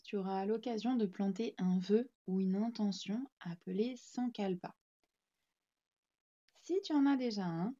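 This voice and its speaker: A woman guiding a yoga nidra relaxation, speaking in calm, soothing, gently instructing short phrases. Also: medium pitch, lo-fi sound. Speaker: yoga nidra meditation guide. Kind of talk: guided relaxation meditation narration.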